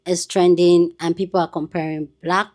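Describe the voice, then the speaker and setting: A woman's voice: fairly low pitch, level tone, normal speed, a little mellow, no room sound, studio quality. A woman hosting her own channel, chatting casually and greeting her viewers directly. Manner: friendly, addresses viewers directly. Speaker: reaction channel host.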